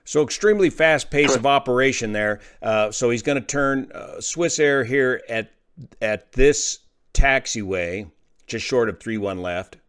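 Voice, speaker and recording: A man's voice: mid-range voice, unhurried, fairly bright tone, clear, resonant tone. A man hosting an aviation show, explaining incidents step by step in an emphatic, explanatory way. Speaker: aviation commentator and host.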